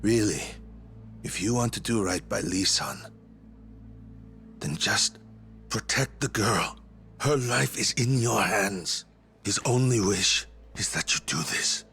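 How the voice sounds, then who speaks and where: A man's voice: fairly low pitch, lively intonation, takes it slowly, gravelly, pauses often, fairly bright tone, soft background music, full character voice. An actor playing a polite, earnest male doctor delivering a grave message in a game dub. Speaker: doctor messenger.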